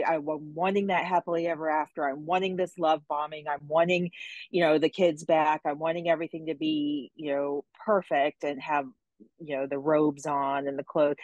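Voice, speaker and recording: Low-voiced woman with very dry sound. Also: darkish tone, no echo, dull audio. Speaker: online audience participant. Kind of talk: spontaneous, reflective comment. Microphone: remote call over the internet.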